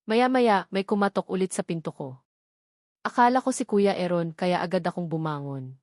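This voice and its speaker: A woman's voice: lowish voice, normal speed, darkish tone, no room sound, studio quality. A woman with a Filipino accent giving a scripted first-person narration of a romantic drama. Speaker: narrator of audio love stories.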